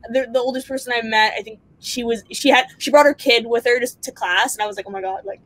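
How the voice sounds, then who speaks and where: A chatty young woman artist on a video call from home, telling animated stories with quoted asides. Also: fairly high pitch, quick pace. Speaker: panelist artist. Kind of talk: casual video-call chat. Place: home room indoors. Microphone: laptop webcam mic on video call.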